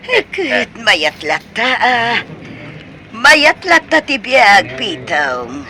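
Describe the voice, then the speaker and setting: A woman's voice: on the high side, wildly swooping pitch, very slow, pushed and bright, full character voice. An actress playing a coy, hesitant middle-aged mother being courted, in a comedy radio drama.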